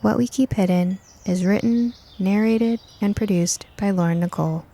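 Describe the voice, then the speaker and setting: Creaky-voiced woman narrating a story. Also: medium pitch, normal speed, mellow. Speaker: author and narrator. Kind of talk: scripted first-person story narration.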